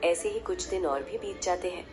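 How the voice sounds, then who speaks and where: A woman's voice: lowish voice, moderate pace, loud music behind the voice. A woman narrator reading a scripted cartoon story.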